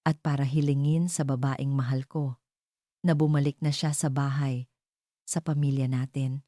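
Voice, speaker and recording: A woman narrator plays a lovestruck male CEO from a romance story. The delivery is earnest, courteous and pleading. The voice is mellow and recorded dry. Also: extremely low pitch, level tone, moderate pace, no room sound.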